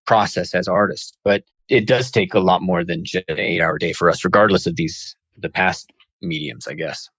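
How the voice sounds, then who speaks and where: A man's voice: deep voice, moderate pace, a bit bright, slightly rough, no echo. A man hosting a casual interview, chatty and throwing in asides between his questions. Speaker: interview host. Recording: dull lo-fi audio.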